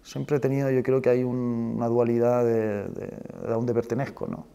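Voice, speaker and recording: A man's voice: medium pitch, flat delivery, normal speed, vocal fry, dark tone, clean tone. A man from Spain and Chile talking reflectively as a film director in an interview, searching for words and often ending phrases with a questioning tag. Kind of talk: reflective interview talk about own work.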